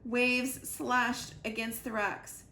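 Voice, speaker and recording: A woman reading a children's storybook aloud with expressive narration, recorded in a roomy living room. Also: fairly high pitch, slow pace, gravelly. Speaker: reader, school librarian or teacher. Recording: roomy echo, faint background noise.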